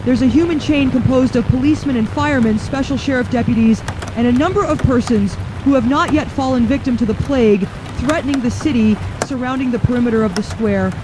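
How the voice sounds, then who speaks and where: A woman's voice: a little high, brisk, close to an everyday voice. A woman playing a distraught field reporter, giving a grave, formally worded live report in a radio horror drama. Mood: grave, shaken. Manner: grave, formal, florid narration. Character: distraught female field reporter amid horrific mass chaos. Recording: loud outdoor background noise.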